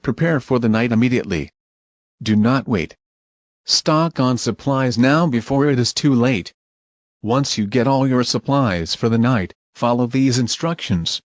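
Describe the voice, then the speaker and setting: A man reading a scripted mock emergency alert as a broadcast announcer, formal, measured and urgent. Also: medium pitch, no room sound.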